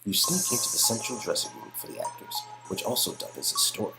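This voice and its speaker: A man reading expressive second-person narration for an interactive story game. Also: on the low side, fast, over music, poor audio. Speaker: narrator and player character reader.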